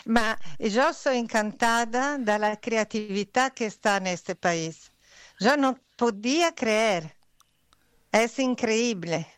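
Italian lady guest, halting spanish, smooth clear voice, talks real slow. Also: fairly high pitch, no room sound. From Italy. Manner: hesitant, halting, searching for words. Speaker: guest artist. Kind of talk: casual interview in Spanish.

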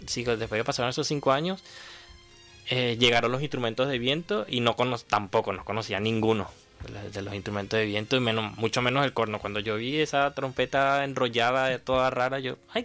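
A man's voice: medium pitch, a little fast, bright, forceful tone, faint music behind. A man in his thirties with a Venezuelan Spanish accent, answering conversationally as an interview guest. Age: 30s. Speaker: interview guest, horn player.

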